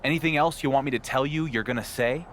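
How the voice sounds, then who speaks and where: A male actor as a cocky wrestling champion in a video game story scene. He speaks at an ordinary male pitch with dry, needling sarcasm and stays unflappable. Some background noise sits behind the voice. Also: quick pace, a bit bright, natural voice. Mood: smug, mocking. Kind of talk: video game cutscene voice acting.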